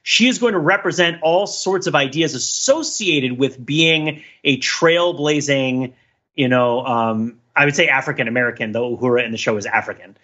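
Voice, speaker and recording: A man's voice: mid-range voice, moderate pace, sharp tone, highs cut off. A man co-hosting a podcast, talking casually and analytically in a discursive way, thinking aloud with many asides. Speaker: podcast co-host.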